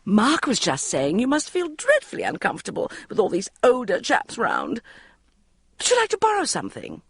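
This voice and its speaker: A woman voicing a nosy, pushy middle-aged family friend, talking loudly and breezily. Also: a little high, wildly swooping pitch, normal speed, gravelly, slightly rough, no echo, clean studio sound, pitched above the performer's own voice, full character voice. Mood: pushy chirpy. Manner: loud, meddling and breezy.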